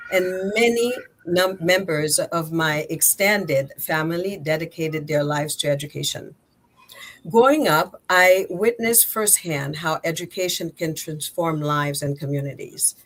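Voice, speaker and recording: Low-voiced middle-aged Haitian-accented woman. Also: a bit bright, poor audio. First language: Haitian Creole. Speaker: guest, nonprofit executive director. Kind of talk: conversational interview answers. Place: remote video call.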